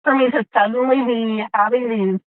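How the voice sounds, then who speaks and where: A woman's voice: on the high side, steady tone, normal speed, dry recording, natural voice. A woman playing a naive, curious mechanical being in a tabletop game, hesitant and full of wonder. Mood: puzzled, wondering. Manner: hesitant, wondering, peppered with questions. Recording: muffled tinny phone-like audio from a car.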